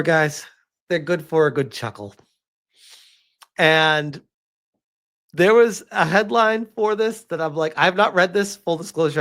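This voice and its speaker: A man giving casual livestream commentary on gaming news as a streamer, informal and incredulous, with halting, emphatic phrasing and rhetorical questions. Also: fairly high pitch, fairly bright tone.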